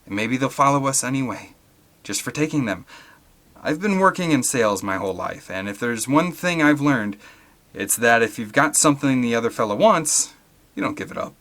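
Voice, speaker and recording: A man's voice: medium pitch, animated, moderate pace, fairly bright tone, pitched above the performer's own voice, natural voice. A man voicing an anxious but pragmatic father, a folksy and persuasive salesman, in an audiobook.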